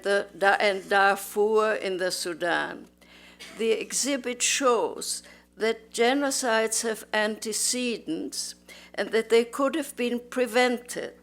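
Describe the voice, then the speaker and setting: A woman in her nineties, born in Austria, giving a slow, measured, formal speech as a museum volunteer in a museum auditorium. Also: mid-range voice, gravelly. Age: 90s. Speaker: museum volunteer and Holocaust survivor. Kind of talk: prepared public speech.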